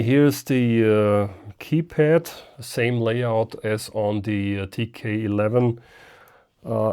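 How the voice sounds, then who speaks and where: A man presenting a casual, unscripted product unboxing, thinking aloud haltingly as he handles things. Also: medium pitch, a little mellow. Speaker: amateur radio video host.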